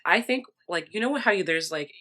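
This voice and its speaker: Quick-talking, self-deprecating woman. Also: fairly low pitch, lively intonation, bright, forceful tone. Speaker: teacher, podcast guest. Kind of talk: casual chat.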